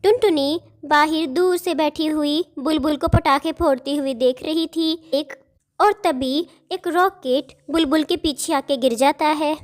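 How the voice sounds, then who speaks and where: A woman's voice: sits high, fairly even intonation, a little slow. A woman narrator reading a scripted cartoon story for children.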